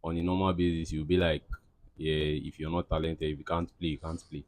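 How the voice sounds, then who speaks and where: A man's voice: very deep voice, level tone, a little fast, darkish tone, no echo. A young man from Cameroon, answering interview questions in a rambling, casual way with lots of fillers and restarts. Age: young adult. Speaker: interviewee, aspiring footballer.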